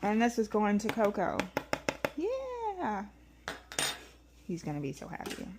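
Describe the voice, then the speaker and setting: A woman in her thirties with a hoarse voice, rambling casually through a day-in-the-life vlog at home, with many ums and restarts. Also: medium pitch, huge pitch swings, slow pace, background noise. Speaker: vlogger. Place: at home, kitchen and house.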